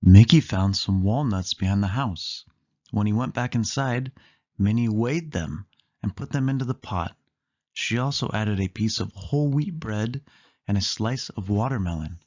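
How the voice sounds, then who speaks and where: A storyteller reading a children's book aloud in an animated way, lingering on listed words. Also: mid-range voice, moderate pace, highs cut off.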